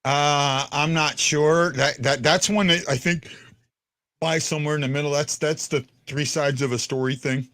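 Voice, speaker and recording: A man with a deep voice hosting a casual fan podcast, rambling with stumbling restarts. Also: fairly even intonation, moderate pace, pushed and bright. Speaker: podcast host.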